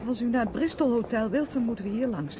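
An actress playing a reticent, troubled young niece in a radio play, speaking in a hesitant, terse and evasive way. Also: female voice, a little high, lively intonation, fast, background noise, lightly acted. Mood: confused, hesitant. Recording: dull lo-fi radio recording.

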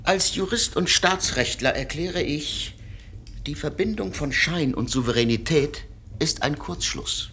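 An actor in a radio drama playing a cold professor of state law who lectures in a measured, doctrinaire way. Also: male voice, a little high, a bit bright, slightly rough, heavily acted.

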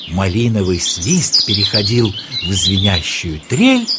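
A man tells a children's fairy tale as narrator. His delivery is slow, with extremely varied, swooping intonation. Clear background noise and birdsong sit behind the voice. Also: on the low side, big pitch swings. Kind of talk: scripted children's storytelling. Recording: noisy track with birdsong.

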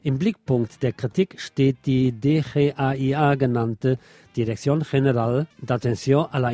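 A man's voice: medium pitch, mellow, dry recording. A man presenting scripted radio news with pointed commentary, recorded in a studio with music behind him.

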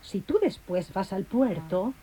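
A woman's voice: medium pitch, lively intonation, a little slow, mellow, breathy edge, poor audio. An actress playing a forgetful old neighbourhood woman in a radio drama, slipping Spanish blessings into her speech and repeating herself. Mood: brooding, confused. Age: older. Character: old, squint-eyed, forgetful Spanish-speaking neighbourhood matriarch.

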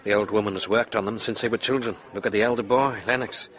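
A man's voice: on the low side, fairly even intonation, fast, a bit bright, close to an everyday voice, rough recording. An actor playing a worldly, observant man in a radio drama, wry and assured in manner.

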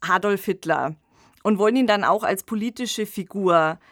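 A woman's voice: mid-range voice, moderate pace, dry recording, clean studio sound. A woman co-hosting a conversational discussion about literature, talking in a reflective podcast style. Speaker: podcast co-host discussing radio plays and books.

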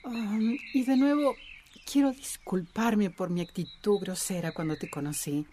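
An actress playing a warm, apologetic healer in a radio drama, with a gentle manner. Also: female voice, medium pitch, a little slow, a little hiss or hum, close to an everyday voice. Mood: warm, tender.

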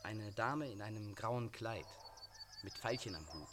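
An actor in a radio drama playing a businesslike policeman who lays out evidence in a deliberate, matter-of-fact way. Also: fairly low pitch, expressive, a crowd in the background. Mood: businesslike, certain. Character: businesslike policeman on a thief's trail. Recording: clear outdoor ambience with birdsong, insects.

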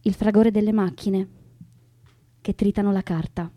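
A woman giving a measured literary reading of a poem. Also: medium pitch, fairly even intonation, dark tone, dry recording. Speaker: poetry reader.

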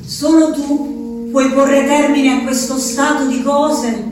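A woman's voice: high-pitched, level tone, slow pace, background noise. An actress playing a pleading, lovelorn woman reading out desperate letters in a lyrical, theatrical way. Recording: echoey distant stage sound under music. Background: music.

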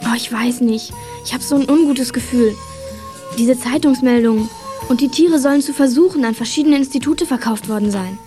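A woman as a high-voiced, anxious schoolgirl. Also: moderate pace, warm tone, soft background music, lightly acted. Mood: worried. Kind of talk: German children's radio play acting.

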